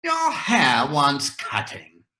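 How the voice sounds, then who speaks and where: A man's voice: fairly high pitch, wildly swooping pitch, extremely slow, sharp tone, heavily acted. A man acting an eccentric, blunt, riddling madman in a readers theater sketch. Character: eccentric Mad Hatter, blunt, nonsensical riddler. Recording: roomy echo.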